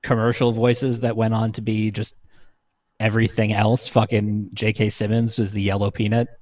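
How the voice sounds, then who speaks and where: A man's voice: lowish voice, monotone, moderate pace, darkish tone. A man, around his thirties, chatting casually as a panelist on a podcast. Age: millennial, around 30s. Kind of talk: casual chat.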